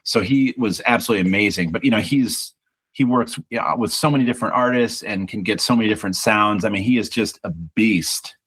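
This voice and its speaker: Casting: baritone male musician, casual reflective interview talk, low-pitched and easygoing. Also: fairly quick, fairly bright tone, slightly rough, rough recording. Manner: reflective, restarts with small repeats, chatty. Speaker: band singer and songwriter.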